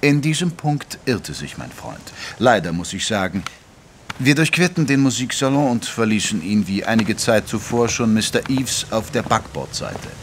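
An actor playing an earnest gentleman doctor who narrates a German audio drama in a measured, literary way. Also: on the low side, sing-song, a little hiss or hum. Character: earnest gentleman doctor narrating his detective friend's case.

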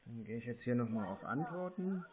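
A man baiting a phone scammer, first acting slow and naive and then turning blunt and mocking. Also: mid-range voice, lively intonation. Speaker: scam baiter. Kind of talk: recorded prank phone call. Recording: tinny phone line.

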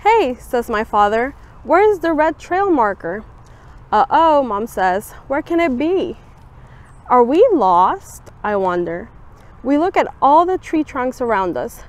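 A woman's voice: high-pitched, takes it slowly. Young woman reading a picture book aloud. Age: young adult. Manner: expressive storybook reading with lively voiced quotes. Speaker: student volunteer reader. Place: outdoors on a campus bench.

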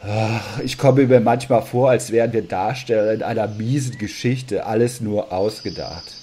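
A man's voice: on the low side, moderate pace, dark tone, a little hiss or hum, natural voice. A man acting a sulky, doubting Adam in a comedic audio drama. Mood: exasperated. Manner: whiny, exasperated. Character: Adam, a sleepy, grumbling, doubting first man.

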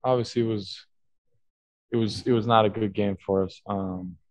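A young man answers press questions after a game in a low voice, stumbling through restarts and fillers. The sound is dry and close on a table mic. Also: fairly even intonation, darkish tone, no room sound. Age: young adult. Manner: halting, many fillers and restarts. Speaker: basketball player at postgame press conference. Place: team media room, indoors. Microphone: table mic on stand.

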